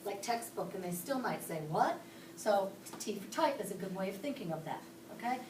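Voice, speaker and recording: A woman's voice: mid-range voice, animated, normal speed, background noise. A woman from Pittsburgh teaching a class, explaining each step and asking the children questions. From Pittsburgh, Pennsylvania. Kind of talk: classroom instruction. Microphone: camera across the room. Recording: echoey classroom from far off.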